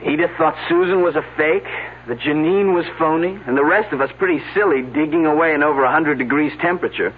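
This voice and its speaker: A man's voice: fairly high pitch, quick pace, hardly any pauses, a little hiss or hum, lightly acted. A man playing an earnest, flustered young digger. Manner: earnest, stammering. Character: earnest young male dig worker, eager and a bit flustered. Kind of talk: 1970s radio drama acting. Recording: tinny old radio broadcast.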